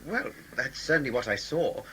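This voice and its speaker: A man's voice: mid-range voice, lively intonation, normal speed, sharp tone. A man acting a sardonic, flippant outsider in a sci-fi audio drama, chatty and wry. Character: sardonic, chatty captive outsider, unbothered by threats. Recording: noisy amateur audio play recording.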